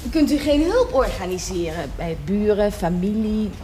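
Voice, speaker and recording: An actress playing a brisk, practical hospital nurse who speaks politely and matter-of-factly in a radio drama. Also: female voice, medium pitch, sing-song, mellow, reverberant, a little hiss or hum, close to an everyday voice.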